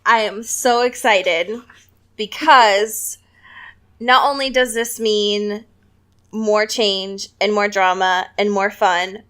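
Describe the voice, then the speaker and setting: A woman's voice: mid-range voice, fairly even intonation, slow pace, a bit bright. A woman podcast co-host in casual, dramatic fangirl chat about a book, full of slang and giggles. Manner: giggly, laughs often.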